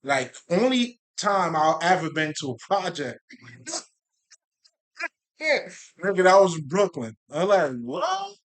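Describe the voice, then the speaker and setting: A man originally from Haiti speaking straight to his audience in a loose, rambling monologue. His delivery is animated and full of profanity and repeated fillers. His voice sits a little high for a man. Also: slow, long pauses. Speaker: spiritual video creator. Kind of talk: casual monologue to viewers.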